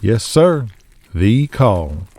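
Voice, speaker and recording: A man's voice: lowish voice, very animated, extremely slow, darkish tone, slight background noise, heavily acted. A man voicing a folksy, wry wrestler in an audio drama, teasing his friend. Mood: playful. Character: Denny, folksy, wry Southern wrestling pal.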